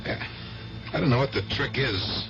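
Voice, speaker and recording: An actor playing a gruff, blunt, skeptical uncle who runs card games, in a 1970s radio drama. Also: male voice, mid-range voice, expressive, normal speed, muffled recording, close to an everyday voice. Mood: scheming, insistent. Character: gruff, streetwise uncle running a card game. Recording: old radio broadcast, music behind.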